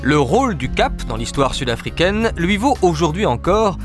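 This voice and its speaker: A man reading a scripted documentary narration in a measured, expressive way, recorded as a studio voice-over. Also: a little high. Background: music.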